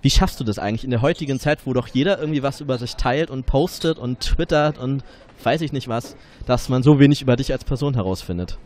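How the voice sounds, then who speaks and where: A man's voice: mid-range voice, quick pace, darkish tone. A man in his twenties with a slight cold, hosting a casual interview with a handheld mic outside a café. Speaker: interviewer and host. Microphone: handheld reporter microphone.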